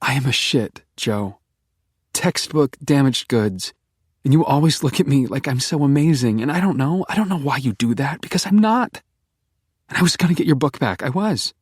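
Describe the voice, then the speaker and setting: A man voicing an emotional 24-year-old woman who talks in a high, pleading, breathless way. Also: lively intonation, moderate pace, breathy edge, dry recording, clean studio sound. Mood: self-loathing. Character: emotional distraught young woman, 24, self-loathing.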